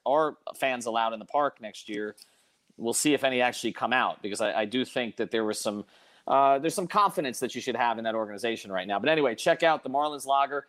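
A man's voice: mid-range voice, fairly bright tone. A man hosting a casual sports talk show, giving his opinions emphatically and reading sponsor plugs.

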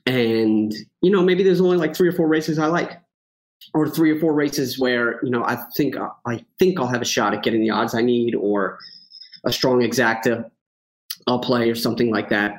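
A man's voice: mid-range voice, moderate pace, low-quality recording. A man speaking as a podcast guest in a casual chat, rambling with many fillers and joking at himself. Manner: rambling, lots of fillers and restarts, self-joking.

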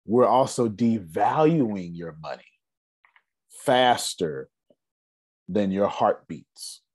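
A man in his forties giving a casual, energetic finance seminar talk, asking rhetorical questions and answering them himself. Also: lowish voice, takes it slowly, husky, no room sound. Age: 40s. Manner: loose, energetic, repeats key points. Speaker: finance speaker.

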